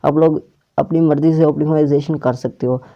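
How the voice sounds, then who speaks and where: A man's voice: a little high, steady tone, moderate pace. A man presenting a casual step-by-step app tutorial, often repeating and rephrasing his instructions. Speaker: tutorial host. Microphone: clip-on or plugged-in microphone. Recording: dull, muffled lo-fi microphone.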